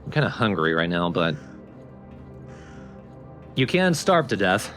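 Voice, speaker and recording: Guy doing lets play commentary, kinda low voice, explains stuff casually, music going behind him. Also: big pitch swings, a little slow, fairly bright tone.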